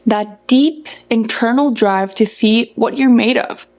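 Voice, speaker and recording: Higher-pitched young woman. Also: slow pace. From Norway. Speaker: running coach and content creator. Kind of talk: scripted motivational talk to viewers.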